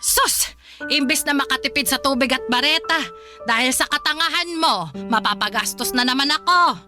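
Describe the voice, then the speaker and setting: A woman's voice: high voice, normal speed, soft background music, natural voice. A woman playing a harsh, strict, religious old grandmother who nags and preaches in a radio drama. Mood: scolding. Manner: scolding, nagging, preachy.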